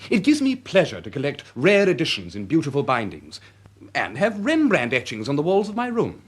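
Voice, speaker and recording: Very animated young English gentleman. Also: on the high side, brisk, breathy edge, full character voice. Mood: breezy, defensive. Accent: upper-class English. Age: young adult. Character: young Victorian gentleman student, idle, extravagant, breezy. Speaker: actor in a radio drama. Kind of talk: period radio drama acting.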